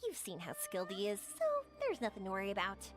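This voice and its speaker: A woman voicing a cheerful, energetic young female fireworks artisan in a video game, friendly and chatty. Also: medium pitch, fast talker, music in the background. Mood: bubbly, friendly. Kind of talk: video game character dialogue.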